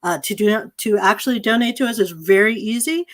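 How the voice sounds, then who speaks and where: A woman's voice: mid-range voice, brisk. A woman hosting an online evening of play readings, giving a welcoming introduction as a theatre manager.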